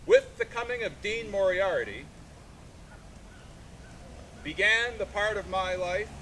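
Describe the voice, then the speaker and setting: Man declaiming with sweeping, high-set pitch. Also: lively intonation, slow pace, pushed and bright. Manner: declamatory, in short broken phrases. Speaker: artist reading aloud and taking questions. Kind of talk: live literary reading. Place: outdoors in a sculpture park. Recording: noisy outdoor recording with vehicle rumble.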